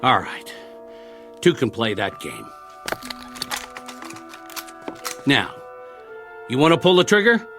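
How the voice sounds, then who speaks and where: An actor playing a weary, talkative survivor soldier in a radio drama, with a gravelly voice and a wry, folksy, rambling way of speaking. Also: male voice, mid-range voice, wildly swooping pitch, measured pace, music in the background. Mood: tense, daring. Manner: folksy, rambling, colloquial monologue delivery.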